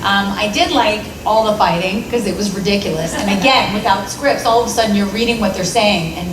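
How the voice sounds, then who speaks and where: Middle-aged woman, voice actor on a con panel, animated storyteller; distant mic in a noisy, echoey hall. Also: medium pitch, brisk. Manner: animated storytelling, acting out her old reactions. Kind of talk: casual panel chat. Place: convention hall.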